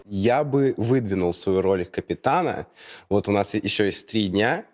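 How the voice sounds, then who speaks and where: A man's voice: lowish voice, lively intonation, measured pace, background noise. An eighteen-year-old man from Russia, speaking as a reality show contestant. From Izhevsk, Russia. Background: clear music.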